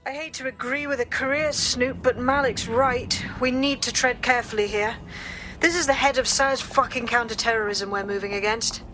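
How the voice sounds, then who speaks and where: Shrewd female game rebel planner, fairly high voice, brisk and sardonic, road noise behind. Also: steady tone, pushed and bright, poor audio. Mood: wary. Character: sharp, wary female resistance strategist. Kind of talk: video game voice acting.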